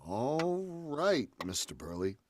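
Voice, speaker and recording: A man's voice: on the high side, sing-song, slow, noisy background. An actor playing a hard-nosed, skeptical male estate agent in dramatic, emphatic old-time radio horror style. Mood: reluctant.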